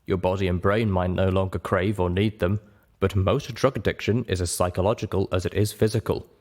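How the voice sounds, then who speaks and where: A man voicing a calm, frank pony doctor in a dramatized audiobook, measured and matter-of-fact. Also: sits low. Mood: grave, advising. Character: calm, frank pony doctor giving grave medical advice.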